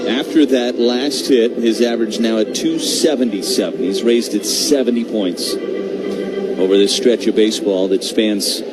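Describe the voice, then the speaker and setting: A man's voice: fairly low pitch, measured pace, over loud music, low-quality recording. A man giving baseball commentary as a radio broadcaster.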